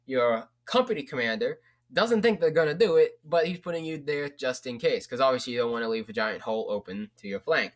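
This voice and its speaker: A man's voice: medium pitch, lively intonation, a little fast. A young man, rambling casually through a book recommendation, with lots of fillers and the odd swear word. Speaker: vlogger.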